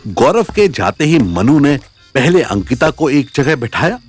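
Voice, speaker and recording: A male narrator tells a scripted dramatic story. His mid-pitched voice moves with lively intonation, over a light music bed. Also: measured pace, breathy edge. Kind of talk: scripted audio story narration in Hindi with English words.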